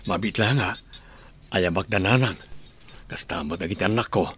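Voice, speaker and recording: A man's voice: low-pitched, moderate pace, fairly bright tone, a little hiss or hum. A man acting in an Ilocano radio drama, playing a friendly middle-aged father who hopes to win acceptance for his new love. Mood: tender, reassuring.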